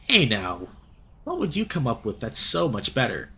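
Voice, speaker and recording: A man's voice: medium pitch, huge pitch swings, moderate pace, vocal fry, bright, forceful tone, heavily acted. A man voicing a playful young gator in a visual novel, with a slangy, hammy manner. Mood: teasing. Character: young male gator, playful, teasing, mock-heroic friend.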